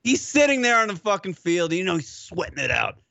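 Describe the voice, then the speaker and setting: A man's voice: sits high, very animated, a little fast, gravelly, sharp tone, no room sound. A man co-hosting a radio show, bantering crudely and mocking a sideline reporter with put-on impressions. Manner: profane, mocking, acts out impressions.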